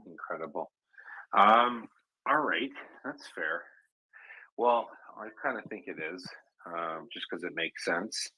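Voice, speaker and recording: Male host in his thirties, very low and husky, dry close sound, joking manner. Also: lively intonation, takes it slowly, sharp tone, no room sound, rough recording. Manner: joking, teasing. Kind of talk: casual chat.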